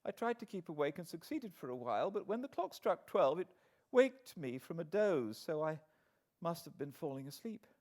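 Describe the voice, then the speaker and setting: A frail, anxious young British woman, as performed by a male narrator, confides in her diary in an audiobook. The voice sits high and moves expressively up and down. The manner is weary and plaintive. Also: big pitch swings, normal speed, a little mellow. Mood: weary, subdued. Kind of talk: dramatised audiobook diary reading.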